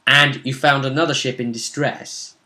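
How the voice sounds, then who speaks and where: A man with a crisp, bright edge to his voice hosts a tabletop recap. He talks casually as game master, telling the story in pieces and keeping some suspense. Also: mid-range voice, steady tone, normal speed. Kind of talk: casual recap of a tabletop role-playing session.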